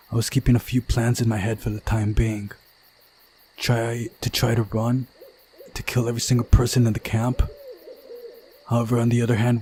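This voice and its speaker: A man reading a visual novel aloud as a dramatic narrator, with soft guitar music behind him. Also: medium pitch, slight background noise. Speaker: game playthrough narrator.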